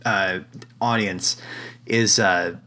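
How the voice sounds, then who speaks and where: A young man co-hosting a podcast, deep-voiced today, rambling with fillers and frequent laughs. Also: fairly even intonation, extremely slow, pushed and bright. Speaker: podcast co-host. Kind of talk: casual chat.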